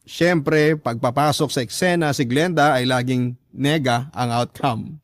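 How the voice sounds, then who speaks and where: A man's voice: mid-range voice, a little slow, dry recording, clean studio sound. A man with a Filipino accent reading a first-person story as the narrator of a radio drama.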